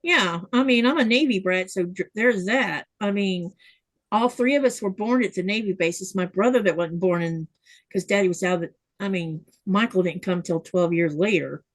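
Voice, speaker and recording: A woman's voice: mid-range voice, normal speed, no echo. A middle-aged woman hosting a sewing livestream, chatting casually and telling rambling stories. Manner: chatty, rambling storyteller, reads viewer comments. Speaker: livestream host.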